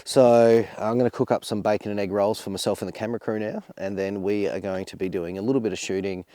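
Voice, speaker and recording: A man with an Australian accent vlogging casually at an outdoor bush campsite while he cooks, rambling and relaxed, a little snuffly from a blocked nose. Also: on the low side, fast, no room sound. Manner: relaxed, rambling, laid-back slang. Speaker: overlanding vlogger. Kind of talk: casual outdoor vlog narration while cooking.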